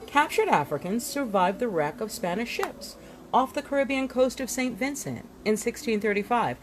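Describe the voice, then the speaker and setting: A woman reading a history book aloud in an expressive, storytelling way, with deliberate dramatic pauses and emphasis. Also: on the high side, over music. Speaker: reader presenting an illustrated history book. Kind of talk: expressive read-aloud narration with asides.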